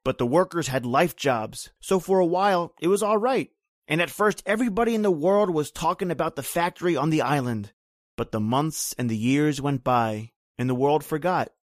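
An actor playing a bitter, grim island native who tells an old horror tale in brooding, rhythmic, folksy phrases, in old-time radio drama style. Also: male voice, a little high, lively intonation, moderate pace, dry recording, clean studio sound, natural voice.

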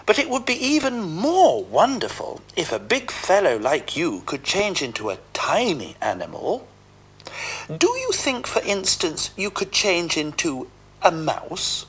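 A man voicing a sly male talking cat who speaks in a smooth, courtly, flattering way, with music behind. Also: sits high, unhurried, voice pushed up, full character voice. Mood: coaxing. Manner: smooth and courtly, overly polite. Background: background music.

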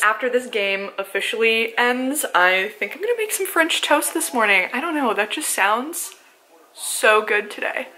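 A woman's voice: on the high side, fairly bright tone, low-quality recording. Chatty young woman vlogging. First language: Polish. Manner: chatty, self-mocking, sprinkled with likes and ums. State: slightly hoarse. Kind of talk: casual vlog chat to camera. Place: apartment living room, car and store. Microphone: handheld camera close up.

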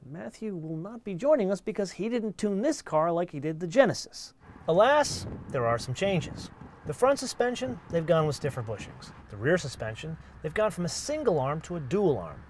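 A middle-aged man hosting a car show, explaining features in a lively, animated way outdoors and behind the wheel. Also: on the high side, slight background noise. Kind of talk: car review presentation.

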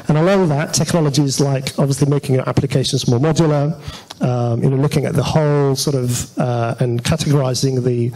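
A man's voice: medium pitch, steady tone, a little fast, dark tone, low-quality recording. A man giving an informal technical presentation as a conference speaker, talking in a conversational, hesitant way. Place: auditorium stage at a meetup.

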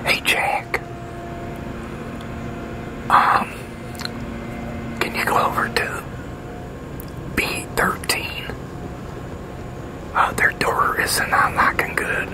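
Male, sixties, breathy whisper, unhurried halting delivery, ASMR office roleplay. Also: low-quality recording. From United States. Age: 60s. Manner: hesitant, halting. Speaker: ASMR creator playing a leasing agent. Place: outdoor covered picnic pavilion with metal tables.

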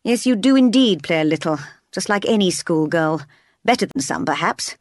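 A woman voicing a stern, brusque gentleman, master of the house, in blunt questions and commands for a novel. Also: fairly low pitch, animated, normal speed, no echo, studio quality. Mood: stern, commanding.

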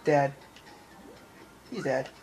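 A man's voice: mid-range voice, drawn-out words, darkish tone. A man giving casual, off-the-cuff commentary as he plays a video game, muttering reactions and apologetic asides. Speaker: gaming video maker, player. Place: small room at home, filming a TV screen. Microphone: handheld camera or phone mic. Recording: camera mic in room, game music. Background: video game music.

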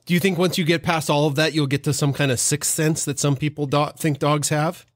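Male podcast host, slightly high voice, dry, easygoing and jokey. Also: fairly even intonation, fairly quick, no echo. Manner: friendly, jokey, asks rambling questions. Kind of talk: casual interview chat.

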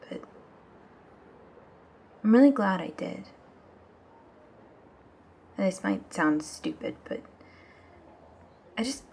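A woman playing a vulnerable, earnest young woman in an ASMR audio drama, speaking softly and haltingly. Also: mid-range voice, slightly rough, full character voice. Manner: hesitant, halting, soft.

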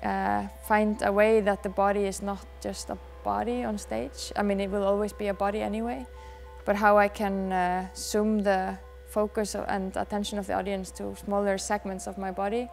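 A woman in her thirties with an Icelandic accent talks through interview answers in a dark studio, pausing often and filling gaps as she thinks. Her voice stays even and unhurried, with a slight gravelly texture. Faint music sits quietly underneath. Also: mid-range voice. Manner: soft-spoken, thoughtful, many pauses and fillers. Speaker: dancer and choreographer.

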